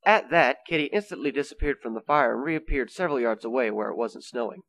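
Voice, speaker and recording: A man's voice: mid-range voice, expressive, quick pace, fairly bright tone, poor audio. A man reading scripted, storybook-style narration for an amateur radio play.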